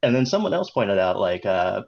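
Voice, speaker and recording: A man hosting a casual chat on a video call, hesitant and halting. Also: lowish voice, very animated, vocal fry, lo-fi sound, slight background noise. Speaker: host.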